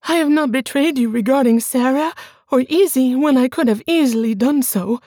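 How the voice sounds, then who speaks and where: Terrified pleading young woman, acted by a woman; high, tearful, strained, dry sound. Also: moderate pace, mellow, no room sound. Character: frightened young woman pleading with her lover.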